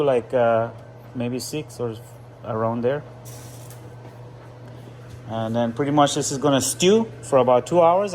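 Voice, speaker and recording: A man's voice: medium pitch, a little mellow, roomy sound, slight background noise. A man with roots in Trinidad and Venezuela, chatting casually to his viewers while cooking in a home kitchen. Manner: chatty, addresses viewers directly. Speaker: vlogger.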